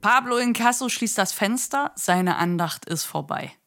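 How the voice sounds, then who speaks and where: A woman's voice: medium pitch, animated, moderate pace, a bit bright. A woman narrating a literary radio play from a script. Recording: music bed behind the narration.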